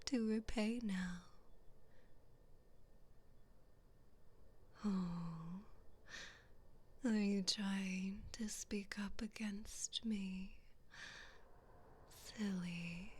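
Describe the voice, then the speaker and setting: Woman acting as evil queen, ASMR roleplay, extremely breathy whisper, very slow delivery, haughty, cruel, condescending, taunting. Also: mid-range voice, full character voice. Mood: menacing, condescending.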